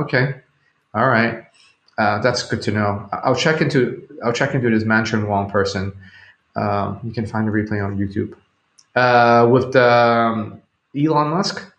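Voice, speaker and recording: A man, a Cantonese speaker, chatting casually and hesitantly as he hosts a livestream, rambling and thinking aloud. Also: lowish voice. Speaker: livestream host. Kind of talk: casual live chat with viewers. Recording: roomy, echoing home recording.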